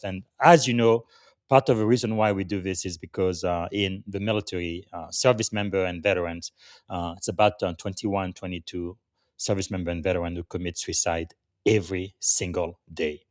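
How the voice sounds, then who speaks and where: A man from Congo hosts a live podcast with a somewhat low voice, earnest and reflective. He talks in halting phrases, pausing often. The sound is dry and close. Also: no echo. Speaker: podcast host. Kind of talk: live podcast, guided gratitude moment.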